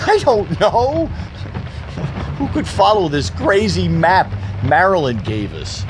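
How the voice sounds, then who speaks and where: A man's voice: sits high, very animated, takes it slowly, background noise, full character voice. A man playing a grumpy, exasperated husband in a comic audio drama, irritable and sarcastic with muttered grunts. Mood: flustered. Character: grumpy exasperated husband driving through a rainstorm.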